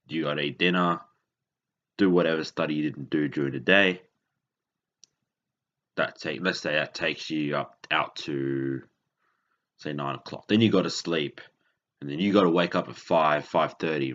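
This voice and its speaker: A man from Indonesia hosts a casual podcast monologue. His voice sits extremely deep, and he talks haltingly, often stopping to correct himself. The recording sounds dull and lo-fi. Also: fairly bright tone. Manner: rambling, self-correcting, halting. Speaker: podcast host.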